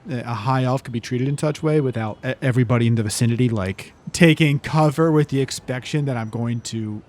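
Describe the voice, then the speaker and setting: A man playing a tabletop fantasy game, casually thinking aloud in halting phrases as his character. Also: medium pitch, darkish tone. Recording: clearly noisy recording.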